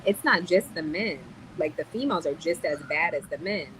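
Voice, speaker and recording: Female livestream host, low-ish voice, casual and slangy, light background hiss. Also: lively intonation, moderate pace, a bit bright, rough recording. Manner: talkative, blunt, slangy.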